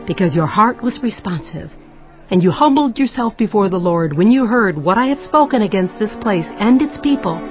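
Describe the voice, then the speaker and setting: Actress playing a stern female prophet, solemn, declamatory, mid-pitched, faint music behind, dull recording. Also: lively intonation, normal speed, lightly acted. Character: woman prophet delivering stern divine judgement. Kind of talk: dramatized scripture audiobook acting.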